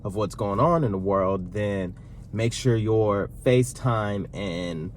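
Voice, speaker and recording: A man in his thirties co-hosting a casual sit-down Q&A with his partner, talking in a relaxed, rambling way. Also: on the low side, slow, a little hiss or hum. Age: 30s. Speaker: vlog co-host.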